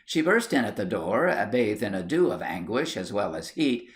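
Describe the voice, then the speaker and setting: A man reading a story aloud as scripted literary narration, in an expressive storytelling manner. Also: lowish voice, normal speed. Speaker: audiobook reader.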